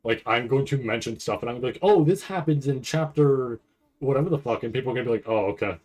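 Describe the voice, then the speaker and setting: A man's voice: medium pitch, lively intonation, quick pace, darkish tone, rough recording. A man streaming casual live reaction commentary, thinking aloud in a rambling, freely swearing way. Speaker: streamer reacting to an anime trailer.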